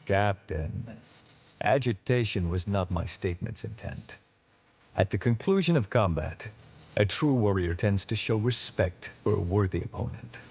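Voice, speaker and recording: An actor playing a stern, honorable veteran alien admiral in a video game, speaking in a formal, measured, authoritative way. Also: male voice, lowish voice, expressive, vocal fry, a little mellow, slightly rough, exaggerated voice. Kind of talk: video game cutscene acting.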